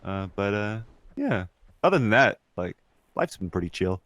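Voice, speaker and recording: Young male podcast co-host, deep voice, dry close sound, slangy and loose with swearing. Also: big pitch swings, moderate pace, a bit bright, dry recording. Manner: slangy, mildly profane. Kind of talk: casual chat.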